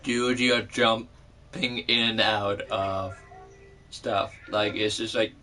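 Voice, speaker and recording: A man's voice: fairly low pitch, fairly even intonation, slow pace, pushed and bright, slight background noise. A man chatting casually over a remote call about comics and games, loose and joking, laughing easily. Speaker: podcast co-host.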